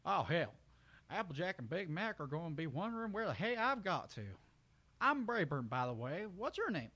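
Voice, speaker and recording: A man's voice: on the high side, big pitch swings, moderate pace, sharp tone, voice pushed up, exaggerated voice. A man voicing a confused, kindly, innocent cartoon pony with folksy country talk and a stammering manner. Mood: surprised.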